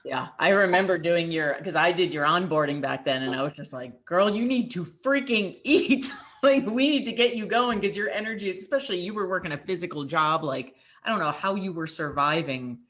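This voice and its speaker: A woman with a low-pitched voice talks as a fitness coach. She is reassuring and easygoing and laughs readily while she chats with a former client. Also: fairly quick, fairly bright tone. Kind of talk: conversational interview.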